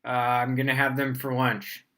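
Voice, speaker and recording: A man's voice: mid-range voice, monotone, moderate pace, fairly bright tone, rough recording. A man teaching physics on a casual livestream, chatting with fillers and laughing at himself as he tries out beginner Spanish. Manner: chatty, rambling, with frequent fillers.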